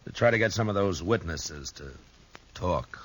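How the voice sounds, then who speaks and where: An actor playing a calm, shrewd frontier marshal in a 1950s radio western, talking dryly and firmly. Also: male voice, low-pitched, moderate pace. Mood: steady. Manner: calm, dry and firm. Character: steady frontier lawman, grown man, calm and shrewd. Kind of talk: 1950s radio drama acting. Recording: faint hiss of an old radio recording.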